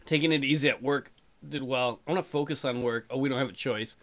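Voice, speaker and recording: A man's voice: medium pitch, quick pace, fairly bright tone. A man doing casual, crude, joking commentary on a visual novel game, slipping into sing-song asides. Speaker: let's player. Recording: music behind. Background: game music.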